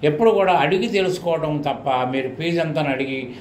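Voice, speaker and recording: A middle-aged Telugu Indian man with a slightly high voice talks like a coaching teacher advising students. He is didactic and repeats his points for emphasis. The recording is very echoey, with faint noise behind him. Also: fairly quick. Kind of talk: informal advisory talk to students.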